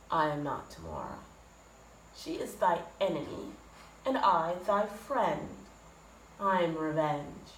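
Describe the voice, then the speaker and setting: A woman plays an infernal spirit of vengeance in a Shakespearean tragedy. The delivery is stately, slow and ominous, commanding as it declaims. The room is audibly echoey. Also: lowish voice, heavily acted. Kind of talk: Shakespearean dramatic monologue. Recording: echoey room with faint noise.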